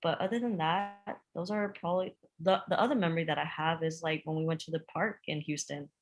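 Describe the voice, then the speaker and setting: A woman's voice: sits low, fairly even intonation, brisk. A woman in her late twenties from Georgia, a podcast guest telling about herself in a casual, chatty way. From Georgia, USA. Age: 20s. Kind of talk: casual chat.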